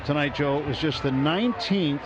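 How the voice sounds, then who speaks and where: A man calling a baseball game as the radio play-by-play announcer from a ballpark booth, with brisk, measured play calling. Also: a little high. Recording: muffled radio broadcast with crowd noise.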